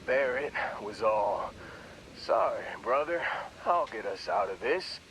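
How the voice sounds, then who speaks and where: An actor playing a groggy young space miner in a video game, talking in a wry, rambling, hesitant way. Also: male voice, mid-range voice, expressive, slow, fairly bright tone, slight background noise, rough recording. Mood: rambling, amused. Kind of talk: video game character dialogue.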